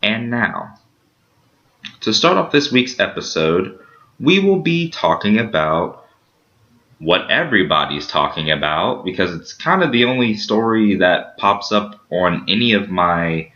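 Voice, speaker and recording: A man hosting a casual podcast, rambling through shout-outs and promos with lots of fillers. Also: on the low side, big pitch swings, a little slow. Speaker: podcast host. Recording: dull lo-fi sound.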